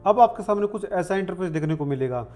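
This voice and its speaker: High-pitched man giving instructions. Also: expressive, fast, a little mellow, faint music behind. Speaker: online form tutorial presenter. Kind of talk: step-by-step screen tutorial narration.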